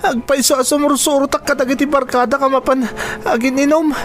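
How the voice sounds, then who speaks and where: A man's voice: very high voice, little pitch movement, fairly quick. A man with a Filipino accent playing a defiant young student son in a radio drama, emotional and whining. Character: defiant young student son who drinks, pleading with parents. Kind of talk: melodramatic radio drama acting.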